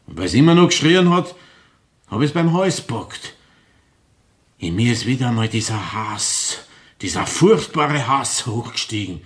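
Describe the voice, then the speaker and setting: An actor playing an unrepentant murderer with an Austrian accent, brooding and blunt, in a radio crime drama. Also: male voice, mid-range voice, lightly acted. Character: confessing murderer, hateful, unrepentant, self-excusing.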